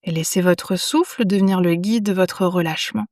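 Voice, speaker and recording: A woman guiding a yoga nidra meditation in a soothing, unhurried manner, leaving long pauses between phrases. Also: on the low side, a little mellow, no echo, studio quality. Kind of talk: guided meditation.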